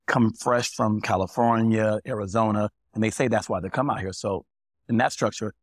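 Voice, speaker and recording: A man's voice: on the low side, fairly even intonation, moderate pace, no room sound, clean studio sound. A man who owns barbershops, speaking plainly on a panel discussion and telling stories from his shop. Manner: plainspoken, anecdotal. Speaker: barber and small business owner.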